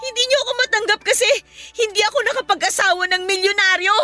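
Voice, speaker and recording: Filipino radio drama, young adult daughter: high, tense, earnest, wounded, emotional. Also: female voice, fast talker, pushed and bright. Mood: anguished, resentful. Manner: pleading, emotional. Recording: music behind the voice.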